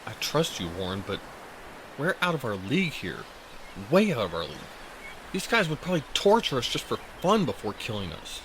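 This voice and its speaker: A man's voice: mid-range voice, wildly swooping pitch, a little fast, noisy background. A man acting in an audio drama as a skeptical, frightened fisherman, protesting sardonically. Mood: worried, sardonic. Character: skeptical, frightened fisherman arguing against a dangerous plan.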